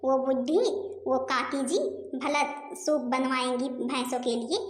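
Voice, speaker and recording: Woman as high-voiced nosy village neighbour. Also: fairly even intonation, unhurried. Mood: gossipy. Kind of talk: Hindi comedy cartoon dialogue. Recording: echoey, long reverberant room sound.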